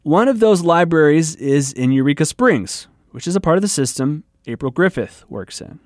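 An American man reports the news in a fairly high voice. He reads scripted narration as a radio journalist, recorded close and dry with very little room sound. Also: expressive, moderate pace, no echo.

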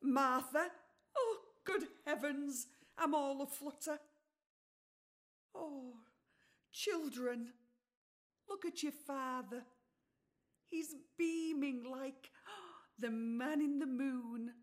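A woman's voice: sits high, drawn-out words, pauses often. An actress playing a flustered, fretful mother of a poor family in a radio play. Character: fussing mother Mrs. Cratchit.

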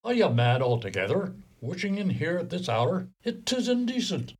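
A man's voice: sits high, very animated, normal speed, background noise. A man acting an officious, exasperated, rule-bound Irish post office clerk in a radio play. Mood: indignant, fussy. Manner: fussy, officious.

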